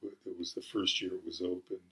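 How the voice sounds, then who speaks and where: An older man in his seventies, from California, is interviewed about his school years. His voice sits very low, and he talks in a relaxed, unhurried way with small pauses. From California, USA. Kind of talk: casual reminiscing.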